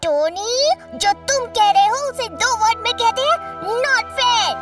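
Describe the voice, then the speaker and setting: Tiny anxious cartoon character, piercingly high, squeaky, drawn-out, loud music behind. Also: female voice, lively intonation, exaggerated voice. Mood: indignant. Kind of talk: Hindi children's cartoon dubbing. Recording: distant sound under very loud music.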